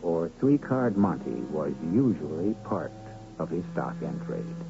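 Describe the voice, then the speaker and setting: Low, gravelly American radio narrator, man. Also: sing-song. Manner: measured, theatrical, deliberate phrasing. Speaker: radio drama host and narrator. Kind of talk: dramatic scripted narration, 1970s radio. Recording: muffled old radio broadcast. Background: loud music.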